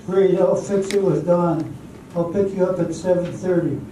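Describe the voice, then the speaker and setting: Man playing a high-voiced eager suitor, echoey hall. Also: fairly even intonation, normal speed, dark tone, a little hiss or hum, lightly acted. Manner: earnest, hesitant. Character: eager, nervous young suitor courting a woman. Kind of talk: amateur stage radio drama acting. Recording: very echoey hall from far off, music.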